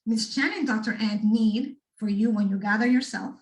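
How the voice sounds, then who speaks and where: Lady, middle-aged, pumping up students, kinda high voice, echoey and far from the mic. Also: moderate pace. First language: Spanish. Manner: animated, addresses the audience directly with frequent "right?". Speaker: speaker at a student workshop. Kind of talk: motivational talk to students.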